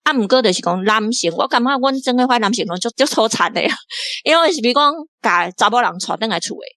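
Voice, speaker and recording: A woman with a Taiwanese accent, an academic giving casual, animated, chuckly literary commentary. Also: a little high, quick pace, no echo, studio quality. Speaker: associate professor, literary commentator.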